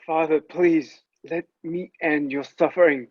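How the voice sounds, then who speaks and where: An intense young heir to Rome, played by a man at a table read, speaking slowly and earnestly in a fairly high voice. The delivery is theatrical and impatient, on a dry recording. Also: steady tone, slightly rough, no echo, muffled recording. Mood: commanding, impatient. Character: Roman emperor's son Commodus, intense, impatient prince. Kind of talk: dramatic table-read acting.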